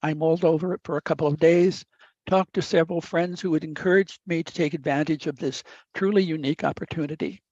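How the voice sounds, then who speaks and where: A man's voice: a little high, steady tone, a little mellow, no echo. Older man, Italian native, Midwest-raised; reflective storyteller with frequent fillers; dull, dry recording. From upper Midwest United States. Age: 80s. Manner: measured, deliberate, with frequent uh fillers. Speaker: guest speaker.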